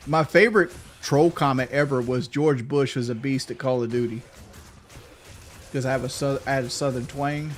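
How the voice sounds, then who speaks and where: A man's voice: mid-range voice, fairly even intonation, faint music behind. A middle-aged man streaming a game, chatting casually and cursing, rambling with frequent restarts and stutters. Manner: rambling, profane, stutters when thinking fast. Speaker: gaming streamer. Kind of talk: casual livestream chat.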